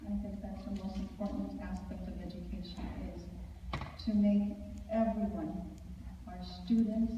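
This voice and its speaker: A woman answering a question at a public forum in deliberate, measured phrases. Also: mid-range voice. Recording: very echoey hall, far mic, muffled, faint noise.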